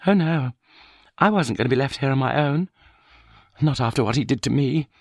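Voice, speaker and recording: A resentful, sharp-tongued wife in a wheelchair, as a male narrator puts her on, pitched well above his own deep voice. She speaks in a raised, accusing tone in a dry, close audiobook recording. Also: expressive, moderate pace, no room sound. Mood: fearful. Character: bitter, vindictive middle-aged wife in a wheelchair. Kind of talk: audiobook character voice.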